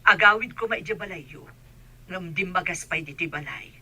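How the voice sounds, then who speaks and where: A woman's voice: lowish voice, moderate pace, sharp tone, breathy edge, heavily acted. A woman playing a frank older aunt in a radio drama, admonishing in a concerned way.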